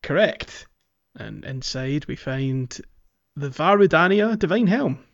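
A man's voice: a little high, animated, slow, gravelly. A man co-hosting a podcast in a casual chat about gaming, on a dry, slightly dull recording. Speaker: podcast co-host.

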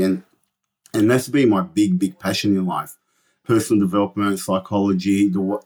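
A man in his forties, born in Lebanon, talking earnestly and quickly as an interview guest, often restarting his sentences. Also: fairly low pitch. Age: 40s. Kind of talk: conversational interview.

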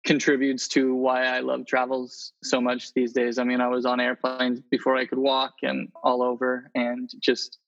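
A man's voice: medium pitch, completely flat delivery, normal speed, gravelly, hardly any pauses. A man speaking earnestly in a casual, conversational interview as a guest. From Indonesia and the Philippines. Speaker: interview guest, travel photographer. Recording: slightly dull, lo-fi audio.

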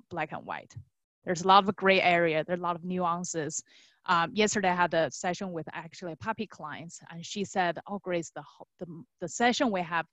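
A young woman who grew up in China, talking casually as an interview guest in an animated, conversational way, often checking in with tag questions. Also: lowish voice, no room sound. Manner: animated storytelling, frequent tag questions, quotes imagined clients. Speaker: guest, dog trainer.

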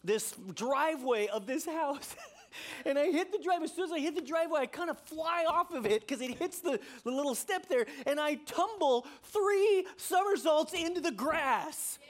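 A man, a pastor preaching a sermon, who tells stories with lively energy, his voice climbing when excited, and repeats key phrases for emphasis. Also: moderate pace, a little hiss or hum. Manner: animated storytelling, voice climbing high when excited.

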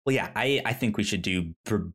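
A man's voice: lowish voice, fairly quick, a bit bright. A man co-hosting a podcast in casual chat, often stammering and repeating the first sounds of his words. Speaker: podcast co-host.

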